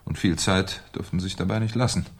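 Shrewd low-voiced police commissioner. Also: male voice, quick pace, breathy edge. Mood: dryly sardonic. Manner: probing, dry, ironic. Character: shrewd, sardonic French police commissioner interrogating suspects. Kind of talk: 1970s German radio crime drama acting.

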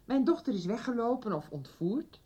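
A woman's voice: fairly high pitch, very animated, moderate pace, mellow, husky. An actress playing a middle-aged mother in a naturalistic radio soap, firm and protective, caring in manner. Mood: strained, firm. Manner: polite but firm, consoling. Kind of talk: naturalistic 1990s Dutch radio soap acting.

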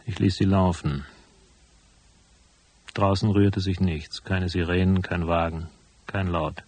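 An actor playing a shrewd, unflappable private detective in a radio crime drama, speaking in a dry, deliberate way. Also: male voice, deep voice, steady tone, a little mellow. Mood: tense narration. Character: cool, laconic hardboiled male private detective, shrewd and unflappable. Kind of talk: German radio crime drama acting with first-person narration.

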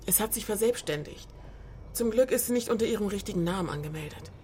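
A woman's voice: medium pitch, lively intonation, fast talker, warm tone, a little hiss or hum, lightly acted. An actress playing a pragmatic woman helping a fugitive friend, in naturalistic radio drama dialogue. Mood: matter-of-fact.

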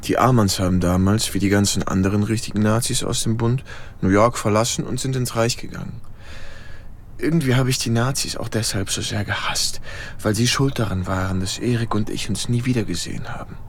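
An actor playing a troubled young German-American soldier, confiding hesitantly in an intimate radio drama. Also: male voice, on the low side, steady tone, fairly quick, a little hiss or hum, natural voice. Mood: sober, remembering.

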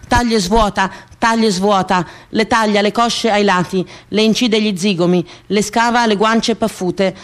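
A woman delivers a scripted dramatic monologue in the manner of radio theatre. Her phrasing is deliberate and incantatory, circling back to repeat words and phrases. The voice sits at a middle pitch. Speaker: actress performing her own theatrical monologue.

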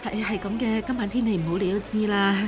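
A woman with a Hong Kong Cantonese accent acting a gentle, resigned middle-aged foster mother in a radio drama, sighing and soothing as she speaks. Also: mid-range voice, fast talker, close to an everyday voice. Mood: timid, placating.

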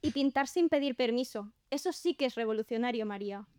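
An actress as a nonconformist woman painter in an audio drama, pitched fairly high and recorded dry. She is defiant yet affectionate, and speaks with emphatic conviction. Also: fairly quick, dark tone, dry recording, natural voice. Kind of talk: scripted audio drama dialogue.

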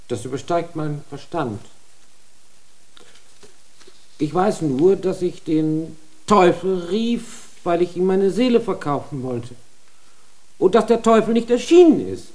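A man's voice: fairly high pitch, darkish tone. An actor playing a humble, frightened man accused before a church tribunal, pleading and deferential in a radio drama.

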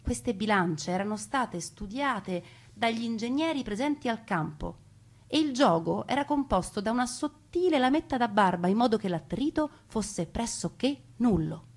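A woman delivering a theatrical narrated monologue, expressive, with deliberate pauses and emphasis. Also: on the high side, breathy edge. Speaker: stage storyteller.

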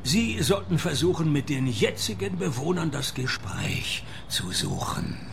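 A man playing a wry, laconic private detective in a radio play, dry and deadpan. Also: on the high side, a little slow, creaky, background noise, heavily acted. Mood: dry, detached. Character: wry, laconic male private detective narrating his cases. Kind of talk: radio play narration and dialogue.

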